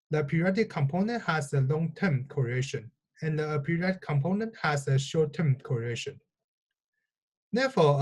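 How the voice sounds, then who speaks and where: A man presenting research in a scripted, measured, explanatory technical talk. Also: fairly high pitch, a little mellow. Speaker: researcher presenting own work.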